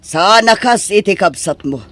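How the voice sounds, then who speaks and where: A woman with an Ilocano accent playing a sharp-tongued, disapproving middle-aged mother-in-law in an audio drama, scolding and emphatic. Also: mid-range voice, expressive, a little slow.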